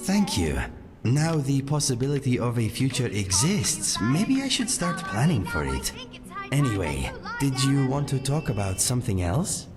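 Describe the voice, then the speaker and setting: A man's voice: mid-range voice, big pitch swings, close to an everyday voice. A man voicing a courteous cat-man adventurer, reverberant. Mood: friendly, calm. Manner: formal, measured phrasing without contractions. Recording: long reverb, music behind.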